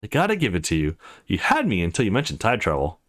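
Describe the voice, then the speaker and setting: Man reading as a brash, animated teenage girl. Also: low-pitched, fast talker, voice pushed down, exaggerated voice. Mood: amused, teasing. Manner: blunt, skeptical, excitable. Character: brash, teasing, foul-mouthed teenage girl best friend. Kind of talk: visual novel character reading.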